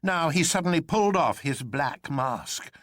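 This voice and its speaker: A man narrating a literary adventure as a storyteller, in a theatrical, expressive manner. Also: on the high side, a little slow, no echo, clean studio sound.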